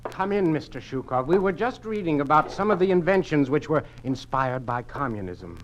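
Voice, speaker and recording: A man's voice: on the high side, animated, normal speed, lo-fi sound, natural voice. A man acting in an old radio drama, playing an earnest, troubled museum worker under an oppressive regime. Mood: surprised, cautious. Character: earnest male museum colleague, troubled by communist lies. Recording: noisy vintage radio drama recording.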